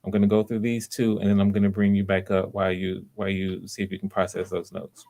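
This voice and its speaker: A man hosting live auditions, giving casual, encouraging coaching in a halting way with repeated phrases. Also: low voice, monotone, creaky, darkish tone. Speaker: host of live voice auditions, director giving notes.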